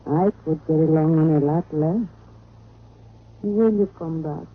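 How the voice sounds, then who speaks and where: Husky, sultry Latin temptress. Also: female voice, sits low, measured pace, narrow, tinny audio. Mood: coy, flirtatious. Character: sultry, coy Latin cafe woman, coolly flirtatious. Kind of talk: old-time radio detective drama acting. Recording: phone-thin vintage radio recording.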